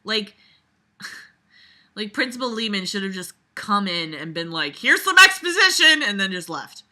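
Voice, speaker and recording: Bright, forceful woman, sarcastic and blunt. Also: mid-range voice, very animated, a little slow. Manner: sarcastic, blunt, exasperated, swears freely. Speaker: host reading a book aloud and critiquing it. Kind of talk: casual commentary mixed with mocking read-aloud.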